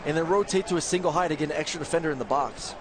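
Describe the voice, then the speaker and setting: A man's voice: fairly high pitch, very fast, background noise. A man giving technical color analysis during a live college football broadcast, breaking down schemes like a coach. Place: stadium broadcast booth.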